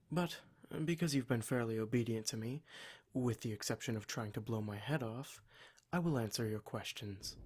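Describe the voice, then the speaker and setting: A man voicing a smug, menacing demon in an ASMR roleplay, speaking in a measured, formal, condescending way to his captive. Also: mid-range voice, a little hiss or hum, lightly acted. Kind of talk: ASMR roleplay monologue addressed to the listener.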